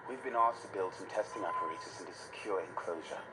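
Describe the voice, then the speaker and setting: A man's voice: low voice, quick pace, natural voice. A man acting a cold, frustrated research scientist dictating a clinical log in a sci-fi game. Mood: intrigued. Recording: audio log under very heavy noise.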